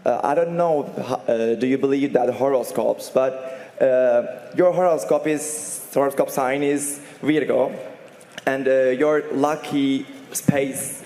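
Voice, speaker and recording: A man from Turkey, a journalist asking a question in halting English full of fillers. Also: mid-range voice, fairly even intonation, warm tone. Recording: echoey reverberant press hall.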